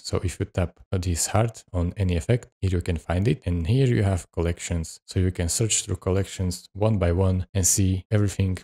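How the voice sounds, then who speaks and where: Very deep, mellow male tutorial host. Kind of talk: software tutorial narration, step by step.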